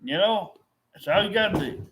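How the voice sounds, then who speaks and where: Man in middle age, fairly high voice, rambling frustrated vent to camera with lots of restarts. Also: expressive, normal speed, noisy background. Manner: frustrated, repetitive, with many restarts. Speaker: vlogger.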